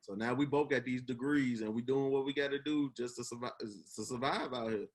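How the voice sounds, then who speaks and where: A man's voice: medium pitch, a little fast. A man in his twenties with a Memphis Southern accent, hosting a casual podcast chat in an earnest, encouraging, slangy way. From Memphis, United States. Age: 20s. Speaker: podcast host.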